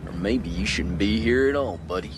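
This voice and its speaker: An actor playing a folksy, swaggering American military scientist in a radio drama, breezy and glib with an American accent. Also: male voice, lowish voice, moderate pace, background noise, natural voice. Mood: veiled menace. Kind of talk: British radio drama acting.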